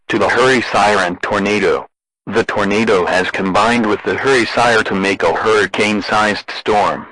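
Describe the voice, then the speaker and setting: Bright, low-pitched deadpan male alert announcer. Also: dry recording, low-quality recording. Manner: deadpan, formal. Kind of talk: scripted emergency broadcast narration.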